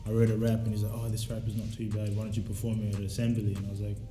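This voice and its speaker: A man's voice: lowish voice, completely flat delivery, very fast. A young man from South Sudan, chatting casually and reflectively in laid-back slang as a musician being interviewed. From South Sudan, raised in Australia. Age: young adult. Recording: roomy echo, faint music behind.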